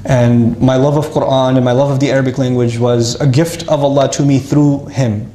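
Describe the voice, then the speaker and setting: A man casually telling his life story to an audience, lively and self-mocking, with frequent restarts. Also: medium pitch, moderate pace, reverberant, a little hiss or hum, poor audio.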